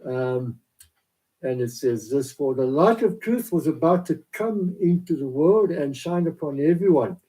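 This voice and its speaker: A South African man, Afrikaans his first language, giving a rambling, halting devotional talk into a laptop camera in his small home office. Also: fairly high pitch, expressive, dark tone, poor audio. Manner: rambling, halting with many fillers.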